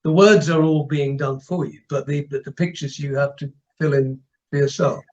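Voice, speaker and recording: A man's voice: on the high side, fairly even intonation, normal speed, a little mellow. A man chiming in conversationally during an interview, heard on a dull, muffled recording.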